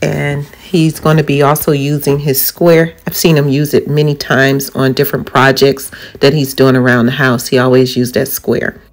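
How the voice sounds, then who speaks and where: A woman's voice: very deep voice, little pitch movement, moderate pace, hardly any pauses. A woman, narrating her home renovation in a chatty, encouraging way and giving how-to tips. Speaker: DIY home vlogger. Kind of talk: casual voice-over narration.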